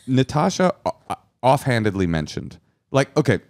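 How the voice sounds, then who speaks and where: A man's voice: mid-range voice, sing-song, darkish tone, no room sound. A man co-hosting a podcast, chatting in a playful, jokey way. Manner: playful, jokey, quick asides. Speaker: podcast co-host. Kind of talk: casual comedic chat.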